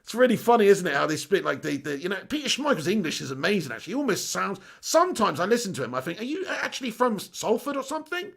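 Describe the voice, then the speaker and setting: A man hosting a live stream, reading out viewer comments excitably, laughing often and swearing casually. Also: high voice, racing, vocal fry, hardly any pauses. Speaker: host.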